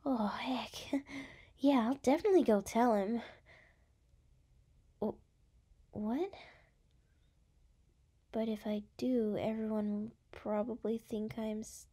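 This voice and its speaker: Woman acting a slow, breathy, brash young gal. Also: fairly high pitch, steady tone, dark tone. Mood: flustered, defensive. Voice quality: breathy, often dropping into whispers. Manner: halting, teasing, exasperated. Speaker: voice actress. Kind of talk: ASMR roleplay.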